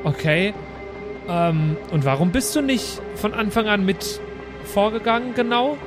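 A man's voice: sits high, measured pace, over music. A man chatting casually as a player in an improvised role-playing game, slangy and peppered with English words. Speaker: player and show regular.

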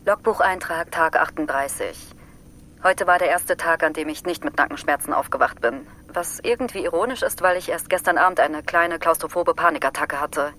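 Candid astronaut dictating a log. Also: female voice, deep voice, normal speed, a bit bright, slight background noise. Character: female astronaut on Mars reading her log. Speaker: crew member.

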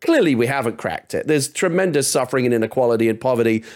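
A male podcast guest talking quickly at a middling pitch. He is emphatic and opinionated, often stammering into restarts as he makes his case in casual discussion. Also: animated, a little mellow.

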